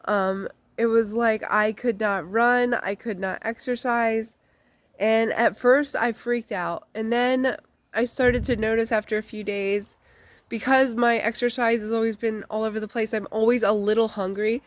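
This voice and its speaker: A woman's voice: fairly high pitch, steady tone, unhurried, no echo. A woman giving a candid, casual life update to her channel, chatty with lots of fillers, her voice congested from a recent flu. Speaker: vlogger.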